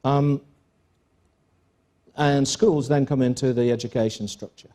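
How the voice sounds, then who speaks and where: English man, middle-aged, school principal type, mellow and measured, dry wit. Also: medium pitch, fairly even intonation. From England. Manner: measured, explanatory, dry jokes. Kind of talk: panel interview on stage. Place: conference stage.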